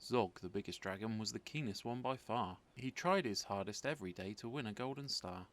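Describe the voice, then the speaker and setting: A man reading a rhyming children's story aloud as an expressive narrator. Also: lowish voice, fairly quick. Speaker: storybook reader.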